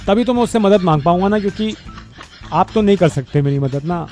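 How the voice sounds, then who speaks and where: A man playing a flattering neighbour who pleads for help on a prank phone call, in a wheedling, repetitive manner. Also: sits high, brisk, faint music behind. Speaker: radio prank caller.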